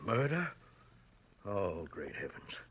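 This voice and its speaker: A flustered, animated, husky suspect. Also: male voice, low-pitched, slow pace, highs cut off, exaggerated voice. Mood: anguished, defensive. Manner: melodramatic, stammering. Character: flustered, self-dramatizing philandering husband, a male suspect. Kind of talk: 1940s radio drama acting.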